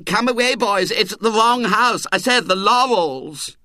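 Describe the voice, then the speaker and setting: A man voicing a fussy, flustered gentleman leading carol singers, with a shrill cry. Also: a little slow, dry recording, studio quality.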